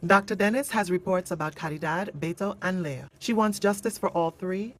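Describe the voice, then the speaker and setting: A woman playing a composed, caring narrator of a radio drama, explaining in a measured way. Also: on the low side, fairly bright tone, poor audio. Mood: composed, concerned.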